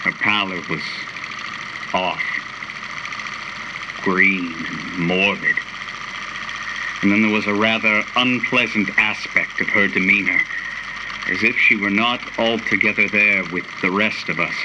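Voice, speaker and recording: An actor plays a pompous, cultured tycoon in a radio drama monologue. He speaks slowly in a low, deliberate voice, with clear noise behind him. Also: steady tone, close to an everyday voice. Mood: disdainful.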